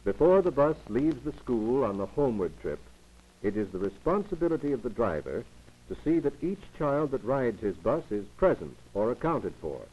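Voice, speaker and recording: A man reading scripted narration for a 1940s instructional film in a didactic, formal, measured manner. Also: mid-range voice, very animated, warm tone, slightly rough. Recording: muffled, lo-fi 1940s 16mm film soundtrack.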